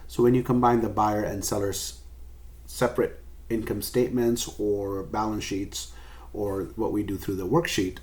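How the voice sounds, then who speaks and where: A man's voice: on the low side, flat delivery, normal speed, darkish tone. A man giving an explanatory recorded lecture as a professor, going step by step and stressing key points. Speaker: accounting professor.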